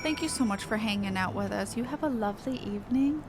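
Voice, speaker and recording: A woman's voice: medium pitch, fast, over music. A woman chatting casually as she livestreams a game. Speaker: game streamer. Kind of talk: casual livestream chat between read-aloud game dialogue.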